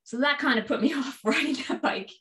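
A woman's voice: high-pitched, lively intonation, a little fast, a bit bright. A woman from Canada with a Canadian accent, a podcast guest telling stories in a casual, chatty, self-deprecating way, in an echoey room. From Halifax, Canada. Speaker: podcast guest, cycling shop owner. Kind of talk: casual conversational storytelling.

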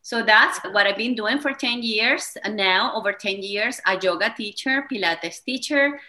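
A woman's voice: medium pitch, normal speed, pushed and bright. A woman in her thirties with a Venezuelan Spanish accent, a wellness coach speaking English as a second language, talking enthusiastically as an interview guest. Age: 30s. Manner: enthusiastic, slightly non-native phrasing. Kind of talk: interview conversation.

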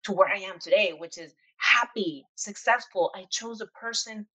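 A woman's voice: medium pitch, moderate pace, bright, forceful tone, slightly rough, rough recording. A young woman from Venezuela telling a personal story in casual conversation, candid and full of fillers.

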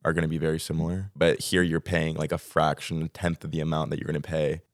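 A man in his twenties with a Texan American accent, talking casually as a guest about student life, relaxed and rambling with lots of "like" and "um". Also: a bass voice, fast, no echo, studio quality. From United States. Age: 20s. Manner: relaxed, rambling with many likes and ums. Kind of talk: casual interview chat.

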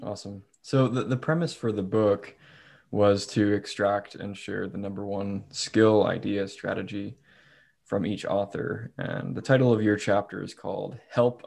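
Low, gravelly-voiced male podcast host. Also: a little mellow. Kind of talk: casual interview hosting.

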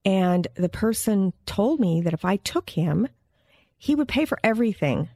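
A woman hosting an interview in a casual, chatty and expressive way, with an informal storytelling style. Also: medium pitch, moderate pace, no room sound. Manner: informal, expressive, acts out quoted dialogue. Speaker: interview host. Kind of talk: casual chat, animated anecdote telling.

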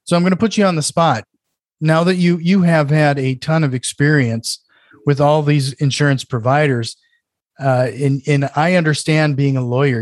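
A man's voice: medium pitch, darkish tone, dry recording, clean studio sound. A man from the northern US with a slight Canadian-sounding accent, hosting and asking casual, hesitant questions. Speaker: podcast host. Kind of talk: interview questions.

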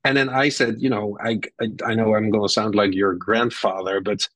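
A man's voice: on the low side, fairly even intonation, normal speed, a bit bright, dry recording. A middle-aged man with a Dutch accent, a marketing executive talking candidly as an interview guest, blunt and rambling with many restarts. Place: lives in Silicon Valley.

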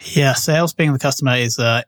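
A man's voice: mid-range voice, brisk, a bit bright, no room sound. Dry-sounding male podcast host. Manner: polished, friendly interviewer with small stumbles. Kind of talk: podcast interview.

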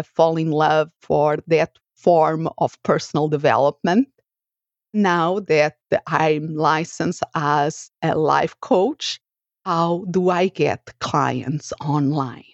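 A woman's voice: sits low, no echo, dull audio. A woman with a Portuguese accent, a podcast host and life coach telling her own story in an earnest, reflective manner, as a native Portuguese speaker. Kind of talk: personal story told for a podcast.

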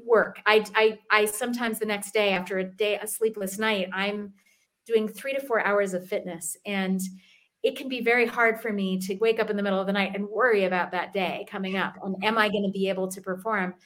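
A woman's voice: mid-range voice, steady tone, brisk, fairly bright tone. Chatty middle-aged woman guest. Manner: earnest, chatty, many ums and restarts. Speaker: fitness expert guest. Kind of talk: casual interview talk.

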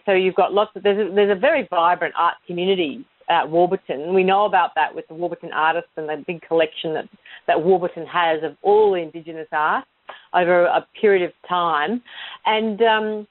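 A woman's voice: fairly low pitch, no echo. A woman hosting a podcast interview, encouraging her guest with frequent short affirmations. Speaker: podcast host. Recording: dull lo-fi dry sound.

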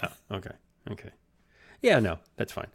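A man's voice: lowish voice, huge pitch swings, moderate pace, husky. Rambling man in his thirties. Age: 30s. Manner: rambling, self-interrupting, chuckling. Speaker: podcast co-host. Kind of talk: casual chat.

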